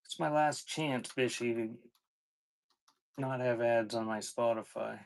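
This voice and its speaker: A man with a medium-pitched voice hosts a casual live sale. He reads out items and drops in offhand, chatty remarks as he goes. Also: unhurried, gravelly. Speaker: online live-sale seller of vintage cassettes. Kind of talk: casual live-stream selling chat.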